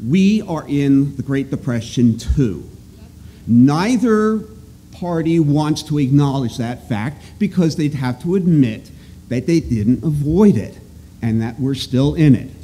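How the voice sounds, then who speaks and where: A man giving halting, opinionated, emphatic testimony off the cuff at a podium microphone in an auditorium. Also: on the high side, expressive, warm tone. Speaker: resident and economist giving public testimony. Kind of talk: halting off-the-cuff public speech. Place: large auditorium. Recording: clear background noise in auditorium.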